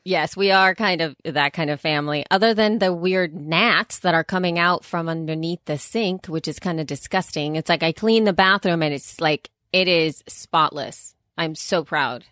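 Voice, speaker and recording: A woman from El Salvador chatting casually as a podcast co-host, animated and exasperated, her pitch swooping up. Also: moderate pace, hardly any pauses, no echo, clean studio sound. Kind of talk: casual chat.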